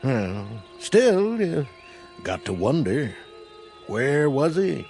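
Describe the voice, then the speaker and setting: A man's voice: fairly high pitch, big pitch swings, drawn-out words, a little mellow, faint music behind, exaggerated voice. An actor playing a suspicious small-town country man with a rural American accent, drawling and insinuating in a radio drama.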